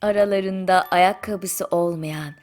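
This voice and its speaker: A woman narrating a children's bedtime fairy tale in a gentle, soothing storytelling manner, with deliberate pauses. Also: fairly low pitch, steady tone. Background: music.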